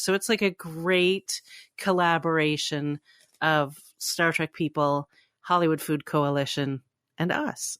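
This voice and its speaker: A woman's voice: on the low side, a bit bright, no echo, clean studio sound. A woman co-hosting a fan podcast, chatting informally and with enthusiasm. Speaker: podcast co-host. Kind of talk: casual fan podcast chat.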